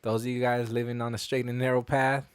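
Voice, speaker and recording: A young man talks in a loose, slangy way, dropping curse words as he co-hosts a chat podcast. His voice sits at an ordinary middle pitch. The sound is dry and close, with no room echo. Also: level tone, fast, clear, resonant tone. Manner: laid-back slangy talk, swears freely. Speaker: podcast co-host. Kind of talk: casual chat.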